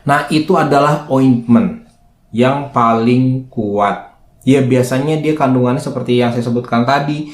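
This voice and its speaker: A man with a mid-pitched, slightly hoarse voice talks in a roomy, echoing space. He explains things informally as a video host, often posing a question to himself and answering it. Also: unhurried. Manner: chatty, asks himself questions then answers them. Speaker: skincare educator and video host. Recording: roomy, echoing indoor sound.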